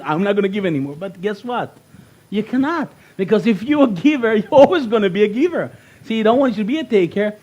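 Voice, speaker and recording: Middle-aged Israeli-born speaker, high voice, punchy and repetitive, workshop presenter. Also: male voice. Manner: animated, emphatic, repeats key phrases. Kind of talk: motivational workshop talk.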